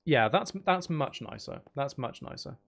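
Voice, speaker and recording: A man's voice: medium pitch, lively intonation, normal speed, creaky. A man giving casual live commentary while streaming a video game, muttering wry, exasperated asides to himself.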